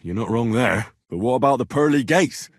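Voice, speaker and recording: A British actor playing an earnest, curious young blacksmith's son in a medieval video game, speaking in a plain, inquisitive and informal way. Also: male voice, mid-range voice, animated, a little fast, dry recording, studio quality. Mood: wary, questioning. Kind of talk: game dialogue lines.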